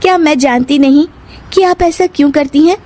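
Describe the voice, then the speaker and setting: A woman's voice: sits high, normal speed, warm tone, pitched above the performer's own voice, natural voice. A woman voicing a defiant, quarrelsome young wife in a cartoon story. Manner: quarrelsome, retorting.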